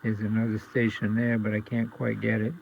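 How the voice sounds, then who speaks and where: A man giving a casual, halting, matter-of-fact hands-on demonstration as a radio repairer. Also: lowish voice, monotone, creaky. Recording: muffled, very noisy, radio static and hum. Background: antique radio static and interference.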